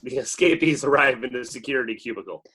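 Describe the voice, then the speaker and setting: A man with a mid-pitched voice narrates a screenplay. He reads scripted action lines aloud in the loose setting of a table read. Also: fast, bright, forceful tone, breathy edge. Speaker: narrator reading stage directions.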